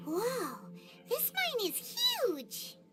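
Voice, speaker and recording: A woman voicing a small, curious, childlike fairy companion with a squeaky voice in a video game. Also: huge pitch swings, very slow, over loud music, exaggerated voice. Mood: amazed. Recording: game audio with loud background noise.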